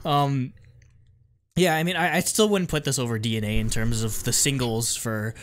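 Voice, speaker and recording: Rambling animated male podcast co-host. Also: medium pitch, moderate pace, a little hiss or hum. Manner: animated, rambling with many restarts and fillers. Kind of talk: casual chat, music review.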